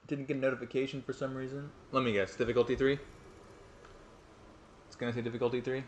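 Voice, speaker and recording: A man's voice: medium pitch, little pitch movement, fast talker, a little hiss or hum. Young male streamer, rambling and self-mocking, roomy echoey sound, freely swearing between fights. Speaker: game streamer. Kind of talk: casual live-stream commentary. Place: home room at night.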